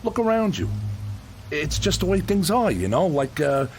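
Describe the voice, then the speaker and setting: An actor playing a gruff but kind-hearted demolition contractor in a radio drama, with an American accent and colloquial, earnest, insistent working-man speech. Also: high-pitched, big pitch swings, moderate pace, slight background noise. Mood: pleading, reasoning.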